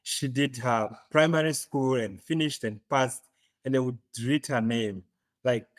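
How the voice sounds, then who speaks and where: A man from Uganda with an East African accent, telling his story as an interview guest, often pausing to search for words. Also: medium pitch, no room sound. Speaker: podcast guest.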